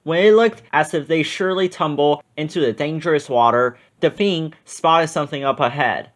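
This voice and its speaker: A man reading a children's story aloud in an expressive narrating style. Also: on the high side, normal speed. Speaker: storybook reader.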